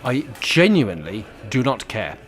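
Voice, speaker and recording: An actor as a cantankerous older rebel in a radio comedy. His mid-pitched voice moves animatedly as he grumbles and bosses bluntly. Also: big pitch swings, normal speed, faint music behind, lightly acted. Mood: defiant. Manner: exasperated, blunt. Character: grumpy, bossy, rebellious older man called Jack. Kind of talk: radio play comedy acting.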